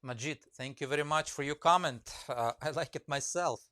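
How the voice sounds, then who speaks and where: A man's voice: on the high side, fairly quick, bright, forceful tone, no room sound. Russian accent guy rambling on a live stream, lots of uh and um, dry close mic. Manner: rambling, many fillers, reads comments aloud. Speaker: woodcarving teacher live-streaming. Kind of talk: casual live-stream chat with viewers.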